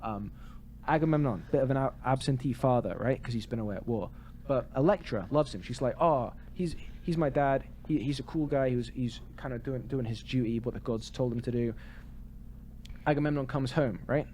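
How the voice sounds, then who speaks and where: A man's voice: medium pitch, a little fast, dark tone, a little hiss or hum. A man co-hosting a podcast in casual chat, with a jokey, wry way of talking. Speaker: podcast co-host.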